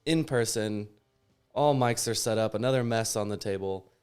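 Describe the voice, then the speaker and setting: A man's voice: lowish voice, moderate pace, a little mellow, clean tone, faint music behind. A man hosting a podcast, chatting casually about sport. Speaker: podcast host. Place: in person around a table with several mics.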